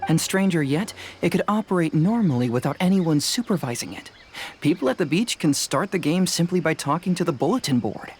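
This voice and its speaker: A woman playing a composed, analytical investigator in a fantasy game, explaining things in a measured, self-assured way. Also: on the low side, animated, a little mellow, slight background noise, lightly acted. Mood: explanatory. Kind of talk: animated game dialogue acting.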